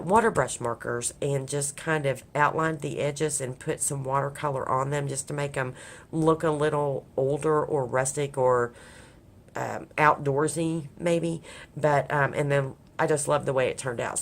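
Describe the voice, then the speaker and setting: Very deep-voiced raspy woman crafter. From Kentucky. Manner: rambling, many ums. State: hoarse from a cold. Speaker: craft vlogger. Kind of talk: casual crafting vlog. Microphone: overhead camera on a craft table.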